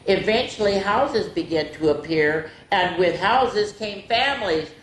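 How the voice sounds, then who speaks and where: A woman's voice: medium pitch, animated. A woman hosting a storytime, reading picture books aloud for young children and adding chatty asides. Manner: slow, deliberate delivery with chatty asides to listeners. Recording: echoey room with faint background noise.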